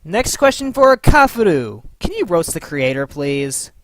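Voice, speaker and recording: A woman's voice: sits low, very animated, a little slow, dry recording. A woman hosting a casual scripted Q&A in an informal, playful, sweary manner. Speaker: host and voice actor of a fan abridged series.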